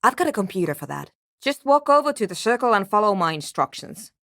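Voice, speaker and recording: A woman's voice: mid-range voice, sing-song, fast talker, fairly bright tone, dry recording, clean studio sound. A woman playing a guarded, impatient and anxious programmer in a video game, with a terse, precise and matter-of-fact way of talking. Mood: curt, dry. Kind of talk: scripted game character dialogue.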